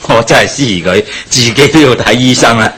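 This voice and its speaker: A wry, gossiping villager in a Cantonese radio play. The voice is mid-pitched and husky, with a Hong Kong accent. He sounds matter-of-fact and sceptical. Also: expressive, moderate pace, fairly bright tone. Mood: wry, gossipy. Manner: colloquial, wry. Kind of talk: Cantonese radio drama acting.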